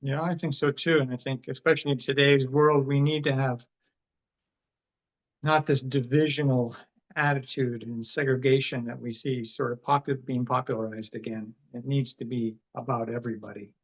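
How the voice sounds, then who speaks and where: Halting Canadian-born male podcast host. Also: mid-range voice. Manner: relaxed, halting, many fillers. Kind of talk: casual interview. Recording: dull, muffled sound.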